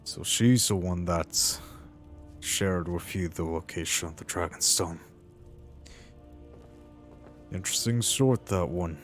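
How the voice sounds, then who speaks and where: A man voicing an earnest, reassuring ex-mercenary adventurer in a video game roleplay, speaking in a halting, deliberate way over music. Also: low voice. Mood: probing.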